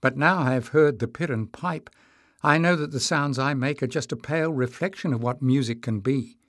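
A man voicing an earnest, nervous young musician in an audiobook, with a high voice. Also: normal speed, fairly bright tone, no echo, studio quality, close to an everyday voice. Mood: shy, wistful. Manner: stammering, earnest. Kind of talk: acted audiobook dialogue.

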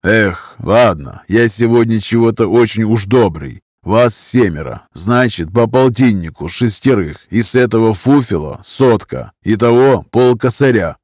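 A man's voice: on the low side, a little slow, hardly any pauses, a little mellow, no room sound, clean studio sound. A man voicing a huge, scarred criminal who makes menacing, drawling threats in prison slang in an audiobook story. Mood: cold, threatening.

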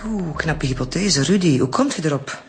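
An actor playing a clinical, dryly teasing Flemish forensic doctor in a radio drama. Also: male voice, a little high, lively intonation, moderate pace, background noise. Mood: wry. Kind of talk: naturalistic radio drama acting. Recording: music under the dialogue.

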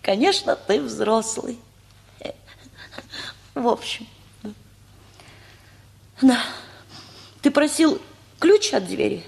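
An actress playing a pleading, possessive woman in a Soviet radio play, emotive and halting. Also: on the high side, lively intonation, creaky, a little mellow, full character voice. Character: Tamara Timofeyevna, pleading, possessive, self-justifying woman. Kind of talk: Soviet radio theatre acting.

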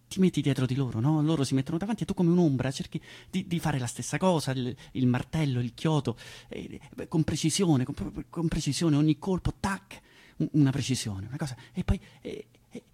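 An anxious, self-doubting man, played by a male stage actor, delivers a theatrical radio monologue in a husky, fairly high voice. He stammers and repeats his words, and his pitch swings widely as he moves between breathy whispers and loud outbursts. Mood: wistful, halting.

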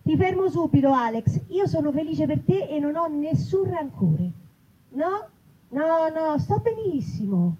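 Defensive, insistent young woman in a story reading, acted by a woman reader, high voice, slightly dull sound. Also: very animated, unhurried, dark tone. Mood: defensive, brisk.

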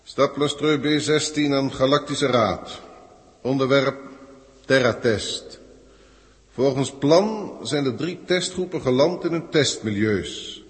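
A man with a Netherlands Dutch accent playing a pompous, exasperated senior alien official in a 1960s radio play, speaking formally and pedantically with deliberate hesitations. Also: medium pitch, close to an everyday voice. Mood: formal, dictating. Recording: old radio recording, long hall reverb.

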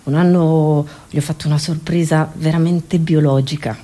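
A woman's voice: low-pitched, moderate pace. Theatrical Italian woman comedian. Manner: theatrical, expressive, sardonic. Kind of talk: scripted comic monologue.

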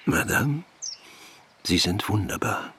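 An actor playing a learned, courteous gentleman with a formal manner in radio drama dialogue. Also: fairly low pitch, expressive, takes it slowly, breathy edge, full character voice. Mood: tender. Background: birdsong.

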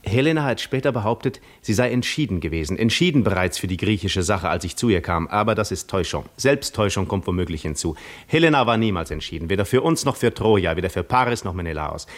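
Cunning Greek war veteran, low voice, measured, reflective, self-assured, articulate, 1950s radio play monologue. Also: male voice, lively intonation. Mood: musing, wry. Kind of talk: 1950s radio drama monologue, literary first-person narration.

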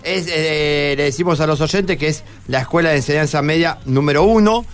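A man's voice: a little high, normal speed. A man with an Argentine accent from Buenos Aires hosting a live radio interview, conversational and prompting with questions. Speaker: radio host.

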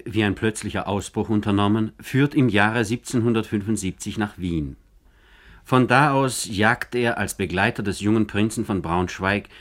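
Male narrator, somewhat low voice, formal, measured, literary radio script. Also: a bit bright.

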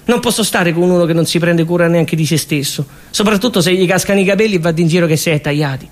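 A man's voice: high-pitched, quick pace, close to an everyday voice. A man voicing a nagging young woman who lectures and accuses her boyfriend in a stage comedy. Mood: lecturing.

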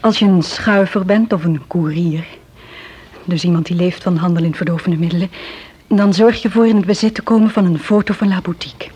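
Husky, hesitant woman confessing. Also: fairly low pitch, dark tone. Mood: subdued, explaining. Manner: hesitant, halting confession with dramatic pauses. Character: anxious drug-addicted young woman confessing a criminal scheme. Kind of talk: radio drama acting in Dutch. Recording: muffled, lo-fi old radio play recording.

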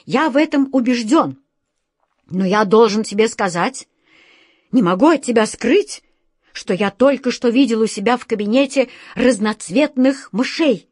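A woman's voice: on the high side, lively intonation, measured pace, a little mellow, breathy edge, no echo, clean studio sound. A woman voicing a nervous office director, a grown man who pleads and stammers in panic. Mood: panicky.

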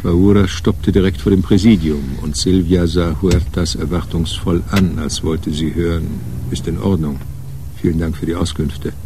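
An actor reading brooding literary narration as the narrator of a radio crime drama. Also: male voice, deep voice, fairly even intonation, normal speed, noisy background. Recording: guitar music behind voice.

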